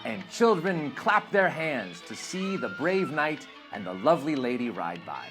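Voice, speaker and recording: A man reading a children's adventure story aloud in a theatrical, expressive, dramatic narrating style. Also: fairly high pitch, a little slow, over music.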